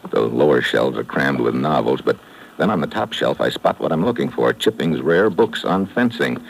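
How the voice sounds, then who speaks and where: A hard-boiled secret agent. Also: male voice, rumbling low, lively intonation, moderate pace, creaky, full character voice, poor audio. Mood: tense, terse. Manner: terse hard-boiled first-person narration. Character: tough American secret agent, wisecracking, male. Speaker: lead actor and narrator. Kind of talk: 1950s radio drama acting. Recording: old broadcast transcription with faint hiss.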